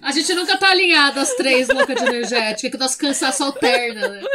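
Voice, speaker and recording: A woman's voice: high voice, expressive, quick pace, over a noisy crowd. A woman with a Brazilian Portuguese accent co-hosting a podcast in casual, playful, self-mocking banter, recorded with noise behind her. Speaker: podcast co-host.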